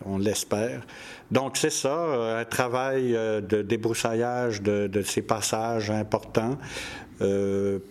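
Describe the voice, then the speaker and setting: Older man, low and unhurried. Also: fairly even intonation, darkish tone. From Quebec, Canada. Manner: hesitant, pauses often with many fillers. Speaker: storyteller and festival organizer. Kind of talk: relaxed interview conversation.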